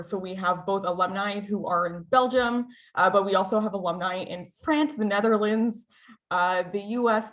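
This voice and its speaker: Upbeat American woman on a webinar. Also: mid-range voice. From United States. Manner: upbeat, with many filler "uh"s and restarts. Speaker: school representative presenting. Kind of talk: webinar presentation. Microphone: video call.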